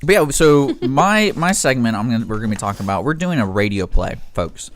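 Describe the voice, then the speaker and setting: A man's voice: medium pitch, expressive, fairly quick. A man hosting a casual live radio show, rambling and often interrupting himself as he tells stories. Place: radio studio.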